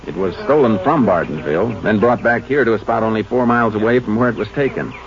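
An actor playing a steady, businesslike Texas Ranger investigator in a laconic 1950s radio crime drama style. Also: male voice, lowish voice, fast talker, lightly acted. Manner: laconic, matter-of-fact. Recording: noisy, dull 1950s radio recording.

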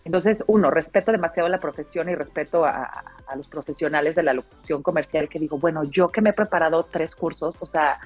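A Mexican woman in her thirties with a deep, full-bodied voice, telling personal stories casually as an interview guest, in an expressive way. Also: fairly quick, husky. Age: 30s. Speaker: interview guest, radio announcer. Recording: dull lo-fi sound, music underneath.

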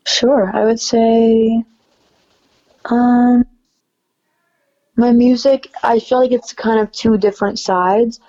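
Soft raspy-voiced woman, mellow and chatty. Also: fairly high pitch, takes it slowly, pauses often, lo-fi sound. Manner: relaxed, chatty, many fillers. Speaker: singer-songwriter guest. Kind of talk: casual interview chat.

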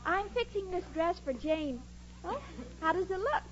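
A woman's voice: very high voice, expressive, normal speed. An actress playing a sweet, naive, dim-witted young woman in a 1940s radio comedy, guileless and earnest. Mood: sweet, simple. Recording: dull, noisy old radio recording.

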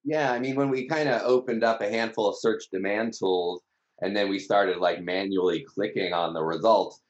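Man, deep voice, casual conversational explanation, slightly lo-fi dull audio. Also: moderate pace. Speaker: startup team member, search specialist.

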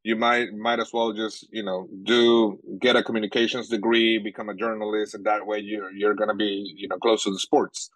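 Low-voiced Venezuelan man, dry sound. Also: flat delivery, a bit bright, no echo, rough recording. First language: Spanish. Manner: halting, many fillers and restarts. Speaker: sports journalist guest. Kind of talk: casual interview answers.

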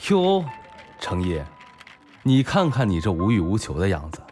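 An actor playing a brash, bantering, foul-mouthed bar owner and loyal buddy in a Chinese audio drama. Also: male voice, fairly low pitch, wildly swooping pitch, normal speed, darkish tone, soft background music.

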